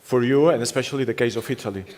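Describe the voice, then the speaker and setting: Panel moderator guy, quick questions, mid pitch. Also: a little mellow.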